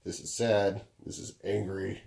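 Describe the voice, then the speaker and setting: Man with a deep, dark baritone. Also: little pitch movement, unhurried, creaky. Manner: laid-back, swears freely, explains with long stories. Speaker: podcast co-host. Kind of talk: casual podcast chat.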